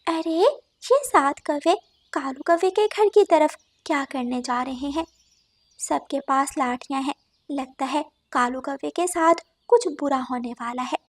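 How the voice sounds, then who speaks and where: A woman voicing a kind, sympathetic female cartoon sparrow, gentle and earnest. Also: high voice, measured pace. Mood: curious, worried.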